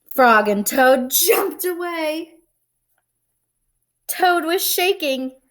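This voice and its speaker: A woman reading a children's picture book aloud, expressively, pausing to explain and put questions to young listeners. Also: high voice, expressive. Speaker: read-aloud storyteller and teacher-like reader.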